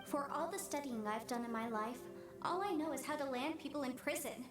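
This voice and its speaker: A woman's voice: sits high, a little fast, recorded from a distance, music in the background, lightly acted. An actress voicing an earnest, upbeat young female legal adviser in a video game. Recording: echoey game audio from afar.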